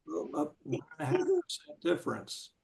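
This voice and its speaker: A man in a casual group discussion, talking haltingly and searching for words with many restarts. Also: sits high, wildly swooping pitch, creaky, darkish tone.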